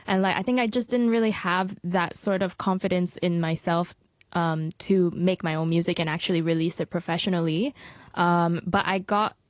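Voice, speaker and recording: A woman's voice: fairly low pitch, fairly even intonation, normal speed, no echo, studio quality. A woman who grew up in Hong Kong, a singer chatting as a guest with many likes, ums and laughs. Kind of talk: casual interview chat.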